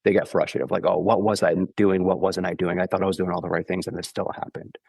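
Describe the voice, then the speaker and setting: A man with a very low voice, congested and sniffly after the flu, talks through running injuries at an easy, patient pace. He keeps checking in with "okay?" and fills his sentences with "like". The sound is dry and close to the mic. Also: level tone, quick pace, creaky, darkish tone, husky, dry recording. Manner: explains patiently, with many "like" and "okay?" check-ins. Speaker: podcast guest, running injury expert. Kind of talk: casual explanatory podcast talk.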